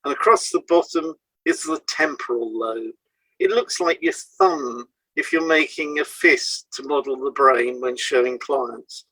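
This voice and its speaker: A man presenting a scripted educational podcast in a measured, explanatory way, setting out items one by one. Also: medium pitch, fairly bright tone, breathy edge, dry recording, rough recording.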